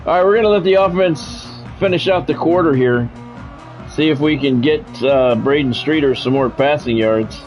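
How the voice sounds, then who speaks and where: American man, gaming streamer, football play-by-play, casual asides, slightly raised pitch, soft music in background. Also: normal speed, rough recording. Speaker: gaming streamer calling play-by-play of own game; former radio sports play-by-play announcer. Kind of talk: football play-by-play commentary mixed with casual chat.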